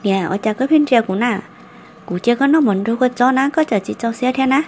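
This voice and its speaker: A woman voicing a female character in an animated family drama. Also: a little high, brisk, a little hiss or hum, lightly acted. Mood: conversational. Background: music.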